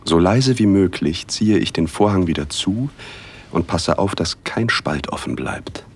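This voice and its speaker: A man narrating a first-person erotic audio drama in a hushed, intimate, unhurried way, with a husky voice. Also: sits low, expressive. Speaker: narrator and male lead.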